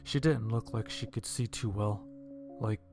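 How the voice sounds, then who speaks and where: A man's voice: fairly low pitch, unhurried, over music. A man narrating a scripted first-person horror-comedy story in a dry, wry manner.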